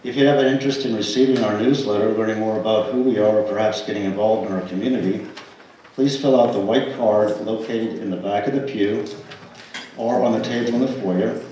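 An older man reading a formal, measured welcome to a congregation as a church trustee. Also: lowish voice, steady tone, warm tone. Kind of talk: scripted service welcome and announcements. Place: small church sanctuary, indoors. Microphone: pulpit microphone, picked up by distant camera. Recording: echoey church hall, distant mic, faint noise.

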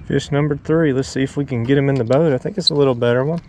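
A man of middling pitch talks casually to himself as he reels in fish from a small boat outdoors. His voice is caught by a head-mounted action camera. Clear background noise and reel clicks sit under his voice. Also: fast. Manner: relaxed, talks to himself while fighting fish. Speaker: fishing vlogger. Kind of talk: casual running commentary.

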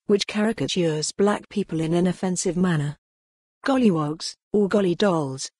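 Breathy, dry text-to-speech news reader. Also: mid-range voice, no room sound. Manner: steady and even, reads page links and headings out word for word. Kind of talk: reading a news article aloud.

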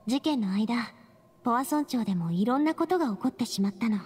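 A woman playing a poised, warm-hearted young lady leader in an anime game, speaking gently and with assurance. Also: a little high, animated, a little fast, breathy edge, long reverb tail. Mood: friendly, assured.

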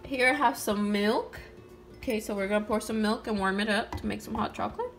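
A woman's voice: mid-range voice, fairly quick. A young woman casually narrating doll-play cooking in a sweet, chatty way, speaking to her viewers and a doll. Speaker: doll channel host. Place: toy kitchen indoors. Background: background music.

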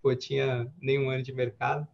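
Gravelly man on a dull video call. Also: medium pitch, fairly quick. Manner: relaxed, rambling, many fillers and restarts. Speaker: guest trader. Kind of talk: casual storytelling on a video call. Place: home office with window. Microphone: headset on a video call.

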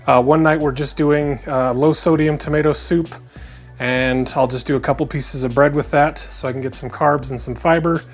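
A man talking casually to his viewers as a vlogger, in a plain-spoken, conversational way. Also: a little high. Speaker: vlogger on a weight loss journey. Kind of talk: casual vlog talk to camera.

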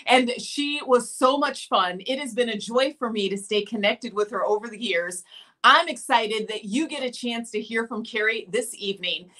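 A middle-aged woman hosting a live video show from her home, talking with lively enthusiasm to her viewers. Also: on the high side. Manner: animated, gestures a lot. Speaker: host. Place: home room with plant and mannequin.

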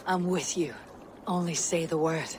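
A man's voice: a little high, steady tone, unhurried, background noise, natural voice. A man playing a stern, loyal Viking warrior in a video game, blunt and solemn. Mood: devoted. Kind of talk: dramatic video game acting.

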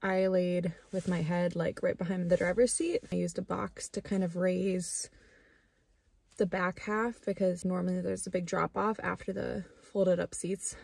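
A woman's voice: fairly low pitch, little pitch movement, a little slow, gravelly, a little mellow, slightly rough, no room sound. A young woman in her twenties, casually chatting to a camera while sitting inside her car on a road trip. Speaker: travel vlogger. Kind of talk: casual vlog chat. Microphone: handheld camera on the dashboard.

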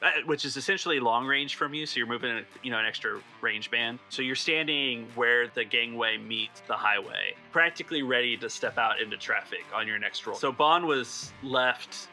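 Man, game master, tabletop roleplaying narration, very bright sharp voice, conversational, descriptive, faint music behind. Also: mid-range voice, moderate pace, few pauses.

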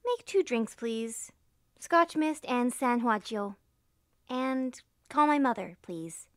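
A breezy young mobster's daughter in an audio drama, played by a woman. Her voice is high and very animated, recorded dry, with a casual, chatty and reassuring way of talking. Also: slow pace, no echo.

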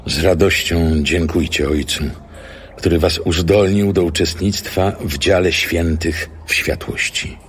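A man reading scripture solemnly, with measured, reverent phrasing. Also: deep voice, a little hiss or hum. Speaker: scripture reader.

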